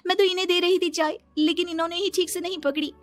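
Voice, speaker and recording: A woman's voice: extremely high pitch, fairly even intonation, fast, soft background music, voice pushed up. A woman voicing a devoted, earnest young daughter and bride in a cartoon moral story, with a pleading way of talking. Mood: tearful, pleading.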